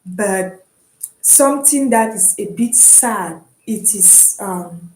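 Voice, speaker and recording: A young woman from Cameroon with a Cameroonian English accent, chatting casually and encouragingly about her online studies, often pausing with "um". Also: medium pitch, animated, a little mellow, reverberant, poor audio. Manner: chatty and encouraging, with frequent "um" and restarts. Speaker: vlogger and online student. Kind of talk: casual explanatory vlog.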